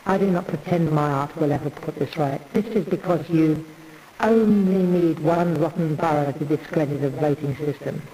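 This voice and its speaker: A man's voice: a little high, dark tone, low-quality recording. A man performing a scripted stage monologue in an ornate, declamatory, oratorical manner.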